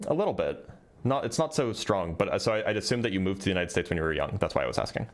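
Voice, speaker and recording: A man's voice: deep voice, lively intonation, racing. A man hosting a debate call, probing and pressing the caller for specifics. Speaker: debate host.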